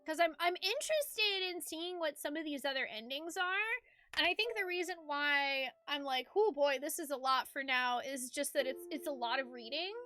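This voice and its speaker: A woman's voice: high-pitched, moderate pace, bright, forceful tone. A woman chatting casually on a game livestream, rambling and hesitant in an informal way. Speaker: streamer doing a game playthrough.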